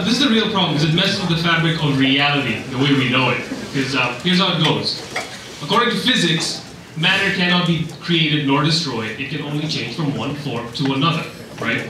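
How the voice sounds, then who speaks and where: A young man from Russia doing a stand-up comedy set with wry, deadpan delivery and lots of ums. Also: a little high. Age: young adult. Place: comedy club stage. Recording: echoey club recorded from a distance. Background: audience laughter.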